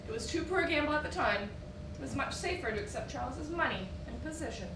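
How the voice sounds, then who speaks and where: A woman's voice: high-pitched, animated, fast talker. A woman playing a haughty, poised society wife who keeps up appearances, in an affected, genteel theatrical style. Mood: cool, haughty. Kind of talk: theatrical stage acting. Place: indoor theatre stage, picked up from afar. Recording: roomy, distant stage recording with noise.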